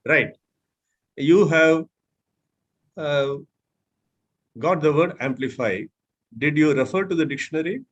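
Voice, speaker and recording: A man with an Indian accent leading a mentoring session on public speaking, pausing and repeating words as he explains and checks with his listeners. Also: on the high side, muffled recording. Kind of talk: interactive mentoring talk with spoken examples.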